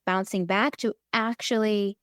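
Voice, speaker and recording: Israeli-accented woman co-host, smooth and direct, dry close mic. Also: medium pitch, fairly even intonation, measured pace, dry recording. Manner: direct, candid, emphatic. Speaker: podcast co-host, therapist. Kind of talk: conversational podcast chat.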